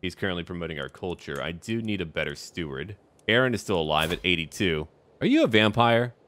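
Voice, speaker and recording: Deep-voiced man narrating a game, lively intonation. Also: brisk. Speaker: gamer narrating a strategy game. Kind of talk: roleplay game commentary.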